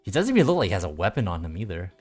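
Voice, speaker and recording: A man's voice: low-pitched, big pitch swings, rapid-fire, darkish tone. A man giving laid-back, musing live commentary as he streams a game. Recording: game music behind the voice.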